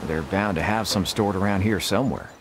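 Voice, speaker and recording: An actor playing a courteous, laid-back cowboy gunslinger in a western video game, plainspoken and gruff. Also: male voice, low voice, brisk, a little hiss or hum. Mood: easygoing. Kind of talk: dramatic video game acting.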